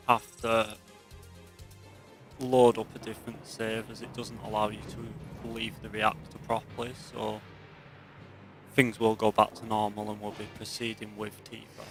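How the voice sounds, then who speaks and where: A man's voice: on the low side, monotone, normal speed, a bit bright. A man giving casual let's play commentary on a video game. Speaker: gameplay commentator. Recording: game music behind the voice. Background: video game music.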